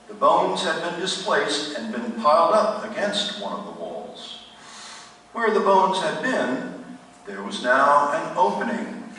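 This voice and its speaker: An actor playing a grave narrator, reading a macabre tale as scripted drama in a radio play. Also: male voice, on the high side, pushed and bright, full character voice. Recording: echoey hall, far from mic, faint noise.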